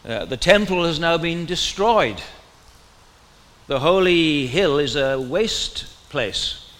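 Welsh male preacher, higher than usual, conversational sermon, hall echo. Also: lively intonation, slow, fairly bright tone. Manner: conversational, asks the listeners questions.

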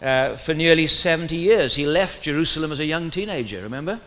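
Welsh male preacher, higher than usual, conversational sermon, hall echo. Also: animated, fairly quick, a bit bright. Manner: conversational, asks the listeners questions.

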